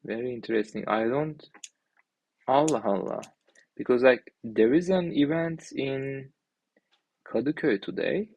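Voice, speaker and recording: A young man from Turkey with a Turkish accent, thinking aloud in halting, filler-heavy speech while he reviews an app on screen. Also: mid-range voice, a little mellow, lo-fi sound. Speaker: indie maker reviewing an app. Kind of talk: casual screen-share commentary.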